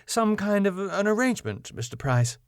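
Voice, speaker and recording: A man's voice: high-pitched, very animated, moderate pace, smooth, no room sound, studio quality, voice pushed up. A man voicing a flustered, officious middle-aged female councillor in a sitcom reading. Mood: evasive, bargaining.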